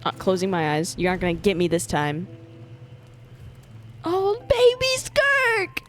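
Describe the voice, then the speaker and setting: A woman's voice: medium pitch, huge pitch swings, a little slow, soft background music. A woman streamer giving casual gameplay commentary in a playful, slangy, reactive way. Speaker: game streamer.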